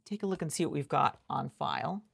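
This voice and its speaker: A woman with a low-pitched voice and plenty of vocal fry plays an interviewer in an ASMR roleplay. She speaks conversationally, feeling her way through sentences with halting, repeated phrases.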